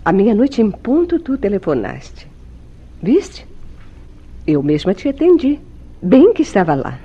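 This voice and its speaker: Theatrical, emotive Brazilian soap-opera wife. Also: female voice, mid-range voice, huge pitch swings, measured pace, breathy edge, lo-fi sound, full character voice. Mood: reassuring, evasive. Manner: theatrical, emotive, formal diction. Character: secretive young wife, tender, anxious, sweetly deceitful. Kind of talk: old-fashioned Brazilian radio soap acting.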